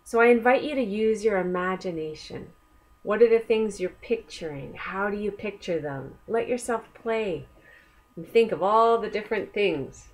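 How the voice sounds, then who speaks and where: A woman reading a children's book aloud as a storyteller, gently inviting young listeners along with questions. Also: medium pitch, animated, normal speed.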